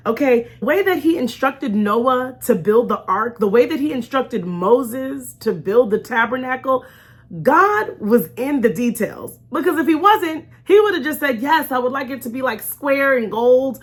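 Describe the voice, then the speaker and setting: High-voiced, animated woman host. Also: a little slow, slightly rough. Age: adult with young children. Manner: animated, conversational, acts out imagined remarks; emphatic, repeats key phrases. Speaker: host of a faith storytime show. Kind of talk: informal inspirational faith talk.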